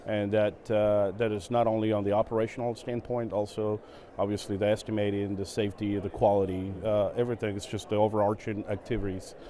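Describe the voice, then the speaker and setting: A middle-aged man from Venezuela speaks on a conference stage as an interview guest. His voice is a little low and warm, and he talks in a relaxed way, his English, which is not his first language, full of fillers and restarts. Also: fairly quick. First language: Spanish. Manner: relaxed, with many fillers and repeated words. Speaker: guest, operations executive.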